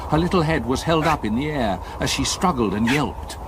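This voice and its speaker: A man's voice: medium pitch, lively intonation, moderate pace, noisy background, natural voice. An actor playing an older man grimly recounting a past horror as narrator of a radio drama. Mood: grim urgency. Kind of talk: first-person dramatic narration in a radio play.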